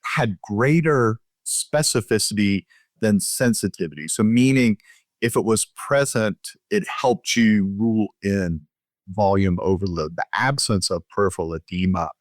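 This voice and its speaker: Low-pitched man explaining medicine. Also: dry recording. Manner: explanatory, somewhat conversational. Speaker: physician, podcast guest. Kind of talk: expert explanation in an interview.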